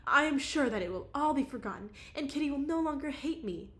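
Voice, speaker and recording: A woman reading aloud, voicing an emotional young society woman with a high, excitable voice. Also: fairly quick. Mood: agitated.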